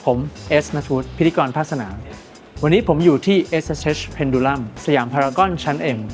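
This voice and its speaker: A man working as a field host, eager and formal; his first language is Thai and he asks questions in halting English. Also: on the high side. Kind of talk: host interview. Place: watch boutique in a mall. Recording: music playing behind.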